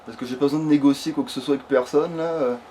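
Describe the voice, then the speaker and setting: A man talks through a let's play. He rambles in casual, slangy, joking speech. Background noise sits clearly under his voice. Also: a little high, fast. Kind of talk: casual gaming chat. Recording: noisy home recording, steady background noise.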